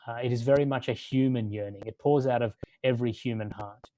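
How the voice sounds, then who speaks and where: A man who grew up in Australia, giving a sermon-like talk in a measured way and building long lists for emphasis. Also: mid-range voice, steady tone, mellow, no room sound, poor audio.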